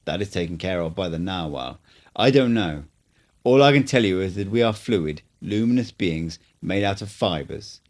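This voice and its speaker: A patient old sorcerer explains the nature of things in an unhurried, instructive tone, as a man reading an audiobook puts him on. The voice sits on the low side, and the delivery stays measured and cryptic. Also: animated, a little mellow. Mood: explaining. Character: old male sorcerer teacher, calm, cryptic.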